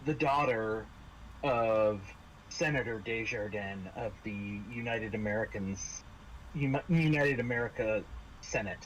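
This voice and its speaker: A man voicing a frank, sick young girl in a tabletop game, speaking plainly and haltingly. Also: on the low side, a bit bright, pitched below the performer's own voice, poor audio. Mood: somber, confiding. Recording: noticeable background noise.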